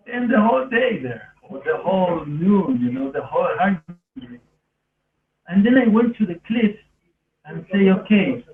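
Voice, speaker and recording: A man with an Argentinian accent, a native Spanish speaker, telling personal stories in earnest, rambling, non-native English on a podcast. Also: fairly high pitch. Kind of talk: casual autobiographical storytelling. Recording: roomy, distant, phone-like thin sound.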